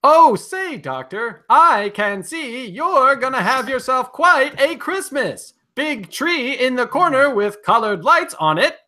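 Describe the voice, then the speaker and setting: Forties radio announcer, acted by a man: emphatic, folksy, comic salesmanship, lively intonation, forward bright tone. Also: very high-pitched, slow pace, few pauses, pitched above the performer's own voice, full character voice. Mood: jolly. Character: chipper 1940s radio announcer and wine pitchman. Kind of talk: 1940s radio announcing, played for laughs.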